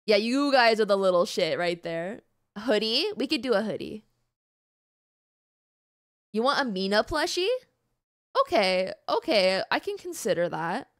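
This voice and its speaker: A woman's voice: medium pitch, big pitch swings, measured pace, pauses often, no room sound, studio quality. A woman livestreamer chatting casually and playfully with her live audience in an informal, mildly sweary way. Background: background music.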